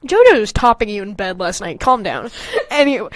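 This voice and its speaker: A woman's voice: mid-range voice, big pitch swings, moderate pace, heavy background noise. A woman podcast host reading her own fanfiction aloud, breaking off into candid, self-mocking, casual asides with plenty of swearing.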